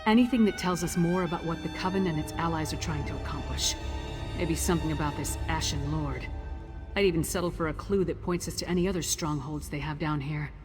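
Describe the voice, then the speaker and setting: An actress playing a tough, battle-hardened warrior woman in a video game, gruff and plain-spoken. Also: low voice, fairly quick, music in the background, lightly acted. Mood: brisk, resolute. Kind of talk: video game character dialogue.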